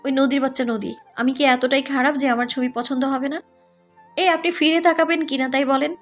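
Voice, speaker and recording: A woman acting as a pert young college girl in an audio drama. Her voice is high, and she is nosy and questioning, with faint music behind her. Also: fairly even intonation, moderate pace, close to an everyday voice. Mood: pouting, bossy.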